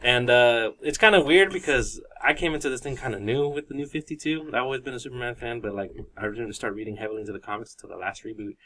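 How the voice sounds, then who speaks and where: A man's voice: medium pitch, fast talker, few pauses, sharp tone. A man of about thirty hosting a podcast in casual, informal group chat. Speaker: podcast host.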